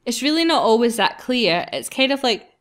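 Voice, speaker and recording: A woman's voice: on the high side, very animated, normal speed, fairly bright tone. A woman hosting a gaming video, reading a visual novel aloud and breaking into casual banter.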